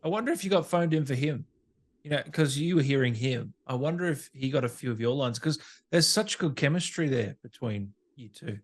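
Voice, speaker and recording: Male interviewer, medium pitch, relaxed conversational podcast hosting. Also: a little fast. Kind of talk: casual chat, reading fan questions.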